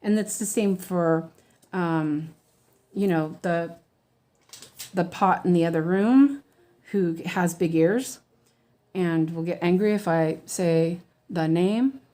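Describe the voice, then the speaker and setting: Relaxed American woman, slow and rambling, chatting to viewers while she stitches. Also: fairly low pitch. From United States. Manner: rambling, chatty, frequent ums and restarts, laughs easily. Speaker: weaving teacher. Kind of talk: casual stitch-along chat.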